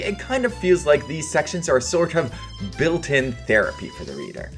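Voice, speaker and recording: Guy reading a scripted review, casual and a bit dry, voice moves up and down a lot, music underneath. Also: a little high. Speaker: video game reviewer.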